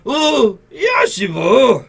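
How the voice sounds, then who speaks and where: An actor with a Taiwanese accent playing a pompous, unfeeling colonial magistrate in a radio drama, drawling officiously. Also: male voice, very high voice, sing-song, very slow, creaky, a bit bright, slightly rough, exaggerated voice. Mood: officious, dismissive.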